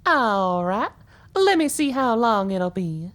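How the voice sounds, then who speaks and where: A woman playing a laid-back, wryly amused unicorn mare sheriff in an audio drama, speaking in an unhurried way. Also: medium pitch, very animated. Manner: unhurried, wry.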